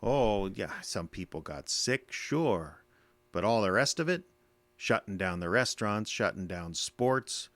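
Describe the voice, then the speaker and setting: An actor with an American accent playing a bitter, defensive middle-aged army veteran in an audio drama, gruff, sardonic and curt. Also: male voice, lowish voice, animated, unhurried, a bit bright, dry recording. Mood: bitter, argumentative.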